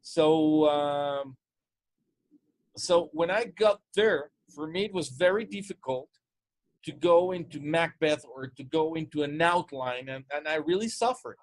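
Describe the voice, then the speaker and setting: A man's voice: fairly high pitch, a little slow, dry recording, poor audio. A man from Mexico with a Spanish-tinged English accent, coaching on sales in casual chat, often restarting and repeating words. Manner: repetitive restarts, stumbling over words.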